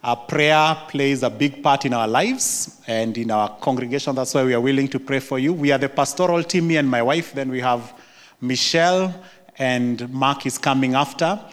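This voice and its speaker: A middle-aged man with a Kenyan accent speaks as a pastor in a church sanctuary. He is friendly and laughs easily, often stopping to restart a phrase. His mid-pitched voice carries a long hall reverb. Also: moderate pace. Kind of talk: church presentation with slides.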